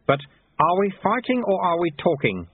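A man's voice: high-pitched, very animated, moderate pace, husky, no room sound, phone-quality sound, voice pushed up, full character voice. A man voicing a young apprentice who is puzzled, faltering and protesting, in an audiobook. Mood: uneasy.

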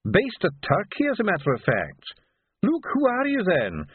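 Older sardonic doctor, put on by a male narrator: pitched up, hesitant, dry, phone-like audiobook sound. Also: huge pitch swings, normal speed, breathy edge, no echo, exaggerated voice. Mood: startled, dry. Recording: narrow phone-like band.

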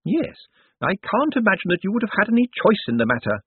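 Man doing a high swooping feisty old lady. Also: big pitch swings, brisk, husky, no echo, phone-quality sound, heavily acted. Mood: wry, teasing. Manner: direct, gleeful, imperious.

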